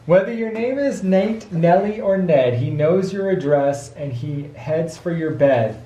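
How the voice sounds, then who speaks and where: A man, a father reading a rhyming picture book aloud to a child in a playful, expressive way. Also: a little high, a little slow. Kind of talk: storybook read-aloud in rhyme. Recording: echoey room with light background noise.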